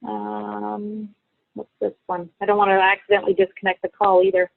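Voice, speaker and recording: A woman's voice: mid-range voice, level tone, moderate pace, creaky, no echo. A woman from Ontario hosting a travel webinar, chatty and reassuring on a video call. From Ontario, Canada. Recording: tinny phone-like call audio.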